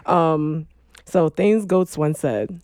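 Chill woman hosting a podcast, mellow low-key voice, dry close sound. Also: slow pace, clean tone, no room sound. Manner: relaxed, frequent mm-hmm backchannels. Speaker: podcast host. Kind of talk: casual interview chat.

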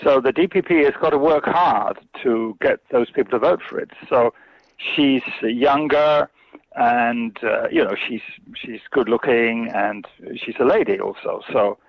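A man's voice: mid-range voice, animated, a little slow, gravelly, no echo. A man answering interview questions as a guest commentator, hesitant, with many fillers and restarts. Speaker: China specialist guest. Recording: tinny phone line.

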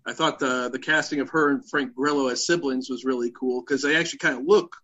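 A man's voice: medium pitch, steady tone, brisk, bright, forceful tone. Man interviewer with a dull lo-fi sound. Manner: brief affirmations and polite prepared questions. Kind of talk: interview questions.

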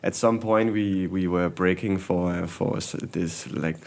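A man's voice: low-pitched, fairly even intonation, measured pace, gravelly, a little mellow. A man, a guest in a casual interview, speaking candidly with frequent restarts and repeated words. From Denmark. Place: workshop basement.

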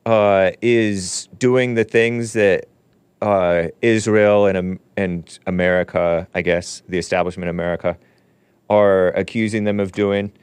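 Low, smooth-voiced male talk host. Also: fairly even intonation, measured pace, no room sound. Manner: chatty, laughs easily, trails off with fillers. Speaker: show host. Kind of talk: casual talk-show chat.

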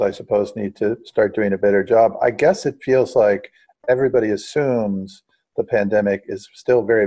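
A low-voiced American man discusses environmental news as a podcast co-host, with a gravelly, husky delivery. He qualifies and corrects himself as he goes. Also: warm tone. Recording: dull, muffled lo-fi audio.

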